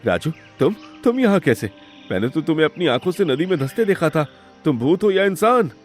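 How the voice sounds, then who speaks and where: A man's voice: a little high, very animated, a little fast, faint music behind. Man voicing a guilty, imploring elder brother. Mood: shocked. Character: greedy guilty elder brother, pleading villain. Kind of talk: melodramatic cartoon moral-story acting.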